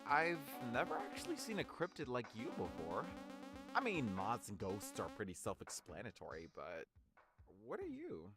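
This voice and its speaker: A woman's voice: rumbling low, huge pitch swings, normal speed, exaggerated voice. A woman acting a chatty, skeptical young woman in a visual novel game. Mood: hesitant, curious. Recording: loud music behind voice. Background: game music.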